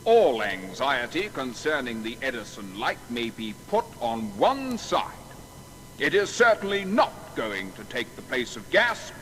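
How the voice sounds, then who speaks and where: Snooty victorian science writer, highish swooping voice, big echo, radio drama. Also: male voice, a bit bright. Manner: pompous, formal. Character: haughty learned British scientific journal writer, dismissive. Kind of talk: dramatised reading of a Victorian article. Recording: long hall reverb, music behind.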